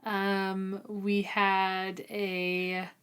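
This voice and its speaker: A woman talks casually as co-host of a book discussion. She takes her time, at a slow pace, and her voice is mid-pitched. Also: completely flat delivery, a bit bright, clean tone. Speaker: podcast co-host.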